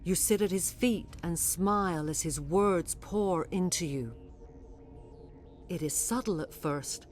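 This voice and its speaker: A woman playing a grave alien matriarch, a mind-controlled servant, speaking formally and with measured drama in a video game. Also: on the low side, a little mellow, faint music behind. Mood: solemn, haunted.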